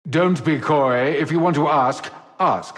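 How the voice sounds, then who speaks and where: An actor playing a suave, cowardly gentleman rogue in a video game, glib, florid and theatrically polite. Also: fairly high pitch, measured pace. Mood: coy, glib. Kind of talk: video game character acting. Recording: long hall reverb.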